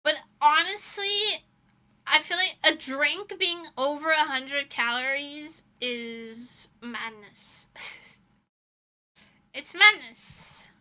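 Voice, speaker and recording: Really high bright girly voice, woman streamer just chatting, kinda dramatic and over the top. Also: extremely slow. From Europe. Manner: animated, dramatic exaggeration, swearing. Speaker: livestreamer, VTuber. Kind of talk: casual livestream chat.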